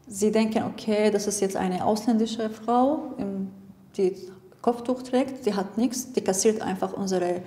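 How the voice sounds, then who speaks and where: A woman's voice: medium pitch, a little slow, dark tone, big-room sound. Woman speaking hesitantly. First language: Arabic. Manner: hesitant, searching for words. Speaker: interviewee. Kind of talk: personal interview.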